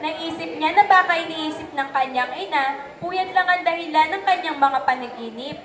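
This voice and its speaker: Casting: young woman storyteller, Filipino accent, high register, scripted read in a reverberant room. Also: moderate pace, a bit bright. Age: young adult. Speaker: narrator. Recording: echoey room, faint background noise.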